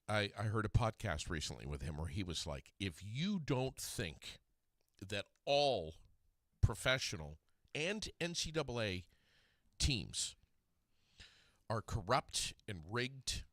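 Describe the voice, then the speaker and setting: Male radio host, casual banter, lively low voice, close dry studio mic. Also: slow, pauses often, no echo. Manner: animated, rising up on emphasis.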